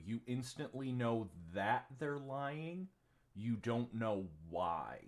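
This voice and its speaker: A man running a tabletop game as game master, rambling with many ums and casual swearing. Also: medium pitch. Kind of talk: narrating a tabletop game on a livestream.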